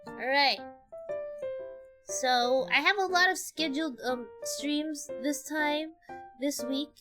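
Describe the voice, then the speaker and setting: A woman's voice: high voice, slow pace. A woman with a Filipino accent, a game streamer chatting casually in a rambling way that often trails off, with game music behind her. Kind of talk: casual livestream chat.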